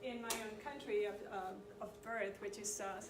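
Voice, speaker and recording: A woman's voice: mid-range voice, fairly even intonation, moderate pace. Woman, middle-aged, conversational lecture, distant mic, roomy echo. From Chile. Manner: conversational, often checks in with the audience. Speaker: community presenter on immigration history. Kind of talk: informal lecture to an audience. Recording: echoey room, distant mic, background noise.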